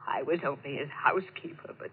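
A stern, grieving woman housekeeper hurls accusations in a low, swooping voice. It is vehement 1940s radio melodrama on a muffled vintage recording. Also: wildly swooping pitch, a little fast, vocal fry, a little hiss or hum, full character voice.

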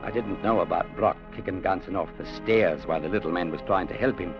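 An actor playing a proud, quietly menacing seafaring man in a 1940s radio drama, both narrating and acting. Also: low voice, quick pace, creaky. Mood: grave, brooding. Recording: muffled old radio recording with music.

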